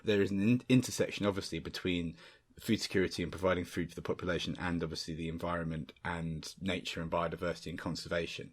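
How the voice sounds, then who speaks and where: A man's voice: deep voice, steady tone, quick pace, few pauses, slightly rough. A man interviewing a guest, asking long questions in a hesitant way, with restarts and fillers. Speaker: interviewer, artistic director.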